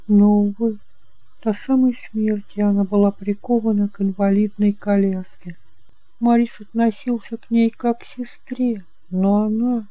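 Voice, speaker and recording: Female actor as a worried, devoted aunt; slow, pleading, very mellow, close dry sound, homemade radio play. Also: on the high side, dry recording, narrow, tinny audio, lightly acted. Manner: earnest, pleading. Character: anxious, devoted aunt pleading for an accused doctor. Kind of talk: amateur audio drama acting.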